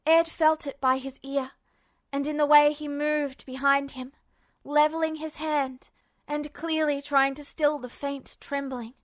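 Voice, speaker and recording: Woman, high voice, expressive storyteller, scripted fiction narration, dramatic delivery. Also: measured pace. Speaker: amateur audiobook narrator.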